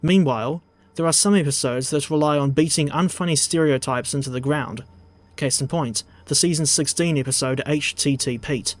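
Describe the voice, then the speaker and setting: Measured New Zealand man narrating. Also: fairly high pitch, no echo. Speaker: video essay narrator. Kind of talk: scripted narration.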